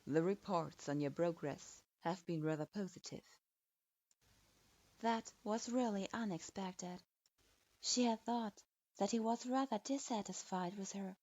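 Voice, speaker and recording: Slow, steady woman storyteller. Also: medium pitch, dry recording, lo-fi sound. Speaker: narrator. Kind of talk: reading fan fiction aloud.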